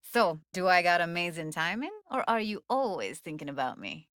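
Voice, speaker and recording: A woman's voice: lowish voice, very animated, moderate pace, a bit bright, dry recording, clean studio sound. A woman playing a streetwise young tech in a cyberpunk video game, guarded but tender, with casual, clipped slangy phrasing. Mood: casual, wry. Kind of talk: naturalistic video game voice acting.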